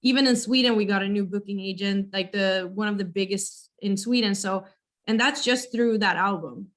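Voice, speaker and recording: Raspy-voiced young woman, casual and hesitant. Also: mid-range voice, moderate pace. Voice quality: naturally raspy voice. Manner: casual, hesitant, laughs often. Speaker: band vocalist, interview guest. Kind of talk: casual interview chat.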